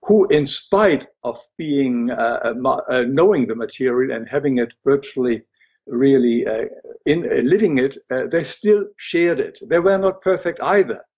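A man's voice: mid-range voice, normal speed, a little mellow, husky, lo-fi sound. An older man from Germany with a German accent, speaking as an interview guest, hesitant with many fillers and restarts. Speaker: guest, illustrator and writer. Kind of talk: interview conversation.

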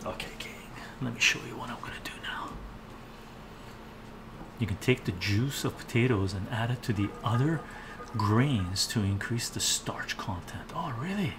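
A man's voice: mid-range voice, animated, moderate pace, noisy background. An older man live-streaming from his home kitchen, thinking aloud while he cooks and answering viewers' chat. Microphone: fixed camera mic, moves around the kitchen.

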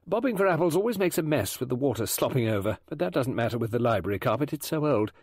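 A man voicing a brisk, bossy society hostess in a storybook, managing everyone with emphatic instructions. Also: mid-range voice, lively intonation, darkish tone, husky, dry recording, clean studio sound, voice pushed up. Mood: brisk, organising.

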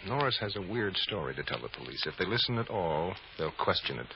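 An actor playing a shrewd, decisive man on the run in an old-time radio drama, brisk and businesslike as he lays out plans. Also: sits low, big pitch swings. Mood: urgent, decisive. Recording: muffled, noisy old radio recording.

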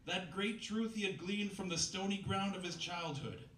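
A man's voice: high voice, moderate pace, pushed and bright. A man in his thirties reading a novel aloud at a live reading night, in a mock-dramatic way with wry asides. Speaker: reader at a comedy reading night. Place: small bistro stage with live audience. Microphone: stand mic, filmed from far camera. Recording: roomy, distant camera audio, faint noise.

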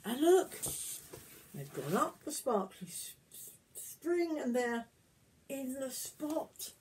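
A woman in her sixties reads a picture book aloud as a granny would to young children, adding gentle questions and asides to them. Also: high voice, big pitch swings, slow pace, noisy background. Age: 60s. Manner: gentle questioning, addressing young listeners directly. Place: small wood-panelled garden room, indoors.

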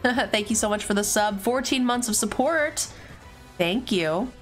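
A woman streaming herself playing a card game, her voice slightly raspy from losing it. She chats loosely with viewers and answers their questions between moves, over faint music underneath. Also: a little high, animated, a little slow. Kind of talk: casual live-stream chat while playing a card game.

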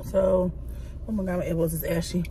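A woman's voice: fairly low pitch, level tone, fairly quick, mellow. A woman in her thirties chatting casually to her viewers from a parked car, calling them y'all. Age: 30s. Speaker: vlogger. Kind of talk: casual chat to camera. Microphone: phone or camera on dashboard. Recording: noisy car interior.

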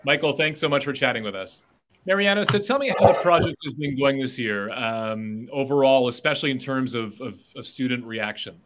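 A man's voice: mid-range voice, brisk, a bit bright, a little hiss or hum. A middle-aged man interviewing students over a video call in an upbeat, encouraging way. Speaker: interviewer host. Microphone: webcam on a video call.

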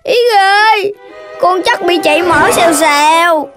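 A performer voicing a chubby, greedy, whiny young pig child in a children's cartoon, squeaky and exclaiming. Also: a little slow. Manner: exclaiming, whining. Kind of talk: children's animated cartoon dubbing. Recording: music behind the voice.

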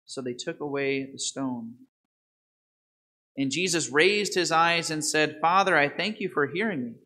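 A man's voice: a little high, unhurried, sharp tone. A man in his thirties, a priest reading the gospel and then preaching a homily in a large church, quizzing the congregation. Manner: asks the congregation questions and quizzes children. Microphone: microphone at the altar, far camera.

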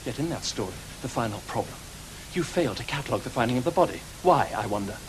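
A man's voice: mid-range voice, lively intonation, quick pace, slight background noise, lightly acted, low-quality recording. A man playing an imperious, accusing Victorian detective. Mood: cold, accusing. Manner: theatrical, prosecutorial, biting. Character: accusing, wounded, imperious fictional detective confronting his author. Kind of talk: radio drama acting.

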